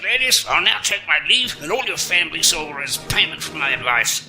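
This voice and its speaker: A man's voice: high-pitched, rough recording. A man playing a pompous aristocratic lawyer with florid, officious legal delivery in an audio comedy.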